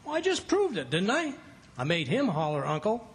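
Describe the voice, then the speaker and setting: An actor playing a blustering, folksy husband given to fibs, in 1940s radio comedy style. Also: male voice, high-pitched, wildly swooping pitch, moderate pace, slight background noise, full character voice. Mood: boastful. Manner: folksy, flustered, slangy. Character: blustering, fibbing, folksy middle-aged husband.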